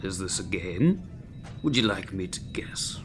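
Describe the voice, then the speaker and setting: A man's voice: low voice, expressive, measured pace, over music. A man playing a cantankerous, hard-drinking chief medical officer in a sci-fi comedy audio drama, sardonic, theatrical and full of contempt. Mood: scornful, dry.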